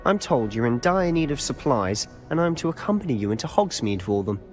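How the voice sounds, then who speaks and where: A man's voice: mid-range voice, big pitch swings, fairly quick, darkish tone, close to an everyday voice. Man as cheeky teen wizard, bouncy up n down voice, playful, bit of music behind. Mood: playful, friendly. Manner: playful, mock-formal. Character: cheeky, charming teenage schoolboy wizard. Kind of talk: scripted video game acting.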